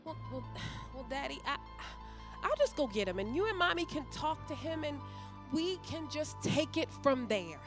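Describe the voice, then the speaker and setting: An actress playing a young adult pastor's daughter in an audio drama, pleading and anxious, with a hesitant, melodramatic delivery. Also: female voice, high-pitched, very animated, normal speed, music in the background. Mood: nervous.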